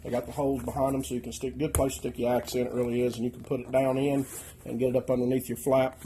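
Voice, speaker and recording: A man's voice: medium pitch, flat delivery, quick pace, dark tone, a little hiss or hum, rough recording. A man from the United States, nasal as he gets over a chest infection, walking casually through his gear in a plainspoken, folksy way and often checking in with "okay?". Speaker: outdoors channel host. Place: living room, indoors.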